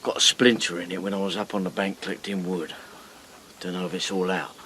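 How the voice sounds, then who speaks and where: An actor playing a shy, rough, working-class boatman who stammers and speaks deferentially. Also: deep voice, little pitch movement, fairly quick, pushed and bright, slight background noise. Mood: bashful. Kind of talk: radio drama acting.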